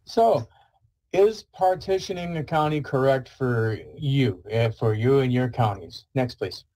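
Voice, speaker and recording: A man's voice: medium pitch, a little slow, dark tone, poor audio. A man giving an informal webinar presentation, explaining things in a conversational way as a weather service presenter.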